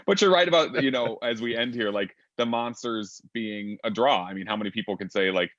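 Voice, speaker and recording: A man's voice: lowish voice, brisk, fairly bright tone, no room sound. A man hosting a casual panel discussion over a video call, chatty and rambling with many fillers. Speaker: panel host. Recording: dull lo-fi video call audio.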